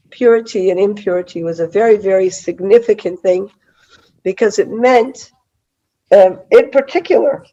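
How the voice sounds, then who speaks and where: A middle-aged woman with a cold-hoarse voice teaching a Bible study over a video call, chatty and full of fillers and restarts. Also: mid-range voice, mellow, lo-fi sound. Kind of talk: online Bible class lecture.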